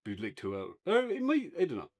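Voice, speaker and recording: A man's voice: on the low side, wildly swooping pitch, fast, a little mellow. An Irish man chatting casually and unscripted as a podcast co-host reviewing a show. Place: indoors on a couch, podcast setup.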